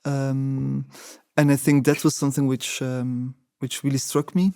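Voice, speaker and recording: A man in his forties whose first language is Dutch, talking through his past in a measured, reflective way. He pauses and restarts often as he searches for words. His voice is mellow and even, recorded dry and close. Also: medium pitch, gravelly, clean tone, no echo. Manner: thoughtful, many hesitations and restarts. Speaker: interviewee, choreographer. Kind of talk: reflective interview answers.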